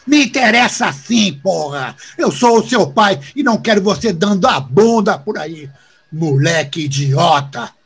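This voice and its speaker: An actor playing an angry, foul-mouthed middle-aged father in an audio drama, gruff and confrontational. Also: sits high, expressive, unhurried, a little hiss or hum.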